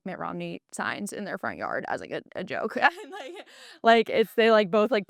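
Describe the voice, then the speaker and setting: A woman's voice: lowish voice, clear, resonant tone, dry recording. Woman in her 20s, podcast guest, casual and chatty, clean dry recording. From Kentucky. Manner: chatty, frequent "like" fillers. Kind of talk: casual chat.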